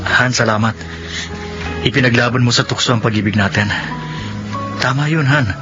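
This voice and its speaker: An actor playing a devoted, caring husband and loyal friend in a Filipino radio drama, speaking earnestly. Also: male voice, mid-range voice, moderate pace, lightly acted. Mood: tender, earnest. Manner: earnest, urgent. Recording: muffled radio drama audio, faint music.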